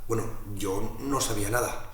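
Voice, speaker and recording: A man's voice: lowish voice, normal speed, gravelly, background noise. A man playing a blunt, sweary, gossipy student who narrates a mockumentary to camera, with an accent from Spain. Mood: scornful. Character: exasperated, foul-mouthed, gossipy male student narrator. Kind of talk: mockumentary dialogue and asides to camera. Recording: roomy sound with music behind.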